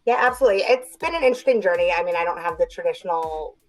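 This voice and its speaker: A woman's voice: fairly low pitch, expressive, very fast, soft background music. A young woman from New Jersey, a podcast guest chatting casually and upbeat, acting out little quotes as she talks. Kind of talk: casual chat.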